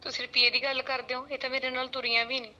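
A woman's voice: high-pitched, little pitch movement, very fast, sharp tone, highs cut off. A woman playing a weary daughter. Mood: weary, worried. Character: troubled married daughter, weary, worried for her child.

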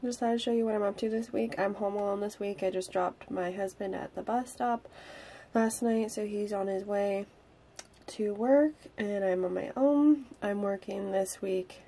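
A young woman in her twenties vlogging casually from her cozy wooden home, chatty and upbeat and a little hoarse from a cold. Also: mid-range voice, normal speed, mellow. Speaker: vlogger and songwriter. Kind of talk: casual chat to camera. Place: cozy wooden home kitchen and living room.

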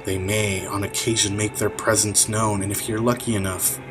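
A man's voice: lowish voice, little pitch movement, normal speed, poor audio. A man reading scripted documentary narration as a narrator. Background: music.

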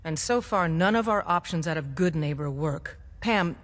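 A woman's voice: deep voice, animated, normal speed, in a large hall. An actress voicing a businesslike female resistance leader giving orders in a game. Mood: briefing.

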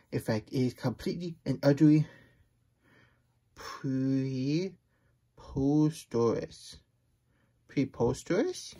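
A man's voice: a little high, darkish tone, husky, heavily acted. A man voicing a silly, childlike plush hedgehog who reads storybooks aloud in babyish broken grammar and gets easily flustered. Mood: flustered, playful. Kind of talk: playful storybook read-aloud.

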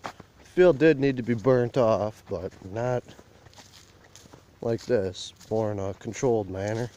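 A man's voice: on the low side, measured pace, warm tone, dull audio. A man vlogging on a phone as he walks a burnt field outdoors, talking in a plain, rambling rural way. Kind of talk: casual walk-and-talk vlog. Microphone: handheld phone camera while walking.

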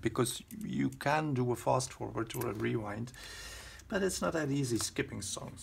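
Slow, creaky-voiced man. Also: mid-range voice. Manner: hesitant, halting phrasing. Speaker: video host, collector. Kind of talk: casual show-and-tell narration. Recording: clear background noise, handling clicks.

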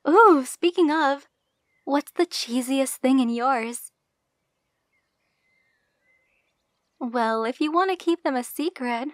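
A woman's voice: high voice, no echo, studio quality. A woman playing an affectionate, playful young bride in an intimate ASMR roleplay, speaking tenderly with a giggly, teasing manner.

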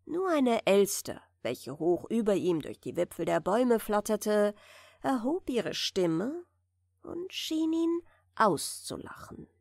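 A woman narrates a bedtime fairy tale in a gentle, soothing way. Her pitch moves expressively while the pace stays very unhurried, sometimes sinking toward a whisper. The recording is close and dry. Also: very animated, no room sound. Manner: gentle, soothing, dropping at times to a breathy near-whisper. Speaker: audiobook narrator.

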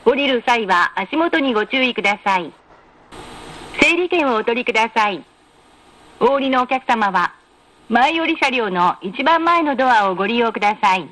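A woman reading scripted in-car train announcements in a formal, polite, measured way with careful enunciation. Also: fairly high pitch, animated, fairly bright tone. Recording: noisy train announcement recording.

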